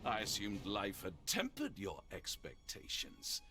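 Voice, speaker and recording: Slow, imperious warlord. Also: male voice, lowish voice, wildly swooping pitch, pushed and bright, soft background music, exaggerated voice. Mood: condescending. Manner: theatrical, condescending. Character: imperious, coldly persuasive male warlord. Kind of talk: video game voice acting.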